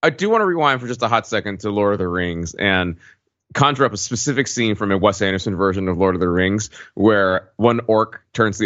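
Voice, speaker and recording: A man's voice: sits low, a bit bright, dry recording. A man co-hosting a podcast, chatting casually about films, recorded with slightly muffled sound. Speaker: podcast co-host. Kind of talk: casual film discussion. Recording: dull, muffled podcast audio.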